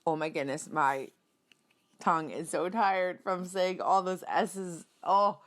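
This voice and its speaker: Slow, low-voiced woman reading to children. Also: steady tone. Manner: addresses young listeners directly, explains simply; dramatic emphasis, acts out sound words. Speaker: children's read-aloud reader. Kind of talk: expressive picture-book storytelling.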